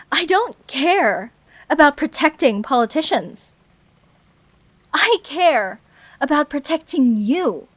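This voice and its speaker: A woman voices a fallen heroine turned villain in an intimate audio drama. Her voice sits fairly high and moves very slowly, with weighty pauses between phrases. She is embittered and fiercely protective, speaking as one making an emotional confession. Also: sing-song, fairly bright tone, full character voice. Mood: pained, impassioned. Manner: deliberate, dramatic, pausing between short phrases.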